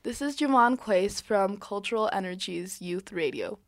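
Brief: young woman reading a personal essay aloud, measured and soft, dry close sound. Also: medium pitch, lively intonation, no echo. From born in Palestine, raised in New Mexico. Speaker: youth radio essayist.

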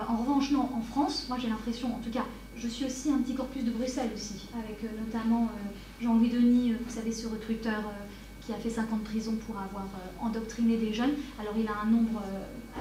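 Woman, fairly high voice, academic panelist, measured delivery, faint room noise. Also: darkish tone, poor audio. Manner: measured, hesitant with restarts in answers. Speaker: conference panelist, researcher. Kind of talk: academic conference talk and Q&A.

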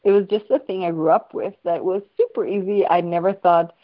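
A woman's voice: fairly low pitch, steady tone, fairly quick, highs cut off. A woman from Sweden, a guest in a casual interview, speaking enthusiastically and playfully from home over a video call. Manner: enthusiastic, free-flowing, playful. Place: at home in Sweden with her dog.

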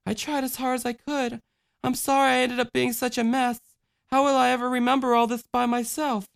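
A man's voice: very high voice, little pitch movement, brisk, gravelly, clear, resonant tone, pitched above the performer's own voice. A man voicing a frightened, hurt little girl who clings to the adult comforting her, in a small, pleading childlike voice. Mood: subdued.

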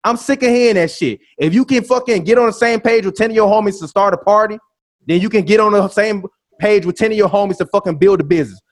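Brief: man, 30, high-pitched, profane ranting co-host who keeps repeating himself. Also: fast. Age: 30s. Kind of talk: heated casual debate.

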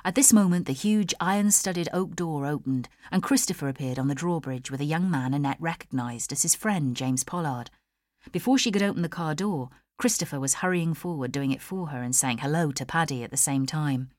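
A woman's voice: sits low, a little fast, a little mellow, dry recording, studio quality. A woman with a British accent reading a novel as a scripted audiobook narration. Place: studio.

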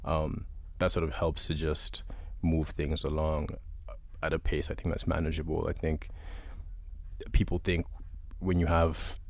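A young adult man from Uganda, a guest musician answering interview questions in a relaxed, thoughtful way with many pauses. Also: a bass voice, gravelly, dry recording.

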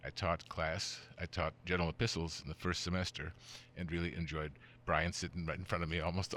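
Older guy hosting a podcast, really low voice, rambly and chuckly. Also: fairly bright tone. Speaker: podcast host. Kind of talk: casual interview.

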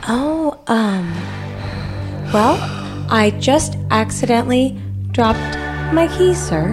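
A woman's voice: a little high, expressive, slow pace, music in the background. A woman playing a meek, eager-to-please employee, hesitant and stammering, in live improvised radio theater. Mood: nervously helpful. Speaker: improv performer.

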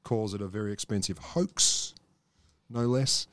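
An Australian man co-hosting a political podcast, sarcastic and deadpan in his jabs. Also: fairly low pitch, measured pace, dry recording. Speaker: podcast co-host. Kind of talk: casual political chat.

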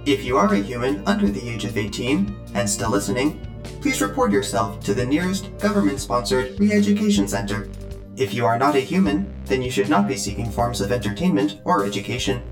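An actor playing an officious, deadpan dystopian announcer reading a scripted warning for an audio drama. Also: male voice, lowish voice, fairly quick. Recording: echoing voice over guitar music. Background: guitar music.

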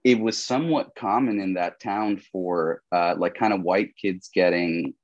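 A man's voice: deep voice, unhurried, no echo, dull audio. A young man from Colombia, a podcast guest talking casually, with lots of "like" and "you know" fillers. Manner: relaxed, rambling, many fillers like "like" and "you know". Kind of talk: casual conversational interview.

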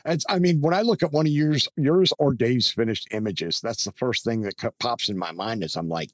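Enthusiastic podcast host guy, average pitch, dry recording no room sound. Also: fairly quick, no echo. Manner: enthusiastic, effusive praise. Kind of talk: casual interview chat.

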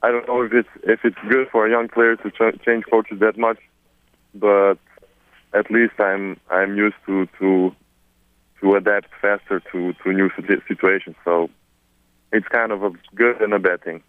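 A man's voice: fairly low pitch, little pitch movement, dry recording. Serbian young man on a tinny phone line. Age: 20s. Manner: halting, many repeated words. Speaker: drafted basketball player. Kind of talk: phone press conference answers.

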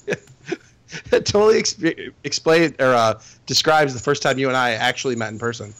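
Loud animated male co-host, dry studio sound. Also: medium pitch, dry recording. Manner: loud, halting restarts, laughs often. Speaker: podcast co-host. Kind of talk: casual podcast banter.

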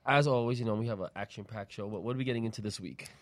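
A man's voice: fairly low pitch, rapid-fire. A man from the Bronx, co-hosting a show with casual, colloquial, teasing banter. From Bronx, New York. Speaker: radio show co-host.

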